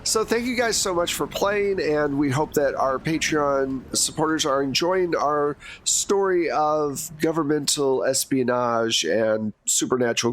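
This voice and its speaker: A man hosting and narrating a tabletop game as game master, in a relaxed, filler-heavy way. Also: a little high, no echo. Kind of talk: tabletop actual play narration. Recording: noisy home mic with hiss.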